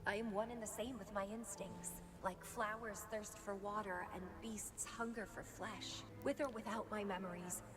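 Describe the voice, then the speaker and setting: An actress voicing an intense, lovelorn and unhinged young woman fighter in a slow, theatrical video game monologue. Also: medium pitch, fairly even intonation, natural voice. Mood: resolute. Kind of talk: dramatic video game voice acting. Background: dramatic game music.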